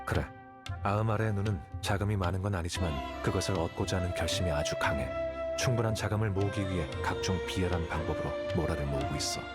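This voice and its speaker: A man playing a calm, aloof, calculating young scholar in a video game, explaining things in a composed, matter-of-fact way. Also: deep voice, fast talker, over loud music, close to an everyday voice. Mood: calm, explanatory. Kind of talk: scripted game dialogue, Korean voice acting.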